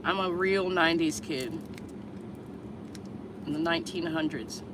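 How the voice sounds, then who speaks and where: A woman's voice: on the low side, unhurried, very noisy. A woman in her thirties from the Chicago area, chatting bluntly and candidly with viewers on a casual livestream and swearing freely. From Chicago area, USA. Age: 30s. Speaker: vlogger answering viewers live.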